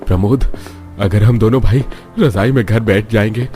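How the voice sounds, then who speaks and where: A man as a low-voiced, careworn family man. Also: normal speed, dark tone, faint music behind, natural voice. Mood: cautious.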